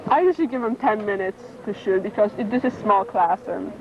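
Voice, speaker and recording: A woman's voice: mid-range voice, fairly quick. A young woman with a mid-range voice, giving brief, plain answers with uhs. The amateur camcorder sound is dull, with the chatter of a camp behind her. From Poland. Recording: dull camcorder tape, campers around.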